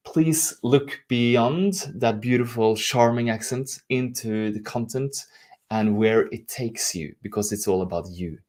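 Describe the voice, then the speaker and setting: A man's voice: on the low side, a little mellow. A man with a Norwegian accent, a native Norwegian speaker, talking casually to a livestream audience as a coach in an effusive, affectionate way. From Norway. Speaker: life and leadership coach hosting a live stream. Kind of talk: casual livestream talk to camera.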